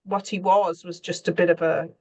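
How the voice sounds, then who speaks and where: A woman speaking as a scriptwriter on a panel, candid and thoughtful, often pausing mid-sentence as she reflects. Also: fairly low pitch, flat delivery, a little mellow, dull audio. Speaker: scriptwriter for radio and theatre.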